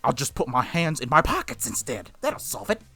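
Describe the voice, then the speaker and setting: Male let's-play host, lively swings in pitch, reading story text with casual asides, music behind. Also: brisk. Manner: expressive read-aloud, slangy asides. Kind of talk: reading a visual novel aloud with casual reactions.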